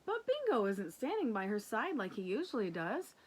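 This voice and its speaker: A woman's voice: on the high side, huge pitch swings, normal speed, slight background noise. A woman speaking as a teacher to young children, reading a picture book and explaining it simply and affectionately. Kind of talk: picture-book read-aloud with casual explanations.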